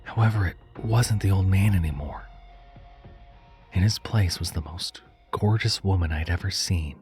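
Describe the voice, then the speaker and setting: A male narrator tells a horror story in a low voice. His delivery is dramatic and scripted, and music plays clearly behind the words. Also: darkish tone. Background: background music.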